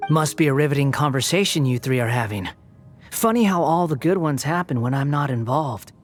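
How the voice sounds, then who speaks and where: A man's voice: fairly high pitch, expressive, fairly quick. A man voicing a sardonic, aloof young male puppet with a dry, cutting way of talking, in a video game scene. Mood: sarcastic. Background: game background music.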